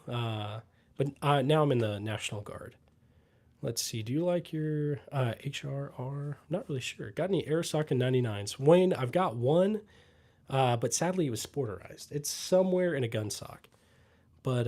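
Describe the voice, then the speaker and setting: A young man from the US Midwest hosting a casual livestream, reading chat comments aloud and answering them with lots of fillers and pauses. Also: on the high side. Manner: relaxed, trailing fillers, pauses to read comments.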